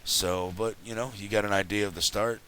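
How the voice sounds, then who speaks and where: A man hosting a casual game commentary, his voice raspy from having just woken up, rambling and chatty as he plays. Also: lowish voice, steady tone, a little fast, bright, forceful tone, rough recording. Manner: rambling, chatty, self-correcting. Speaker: let's play host.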